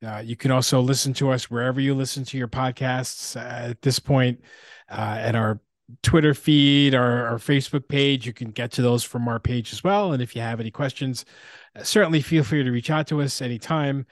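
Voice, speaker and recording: A man's voice: mid-range voice, moderate pace, dry recording, studio quality. A man hosting a podcast in a casual, conversational style. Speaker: podcast host.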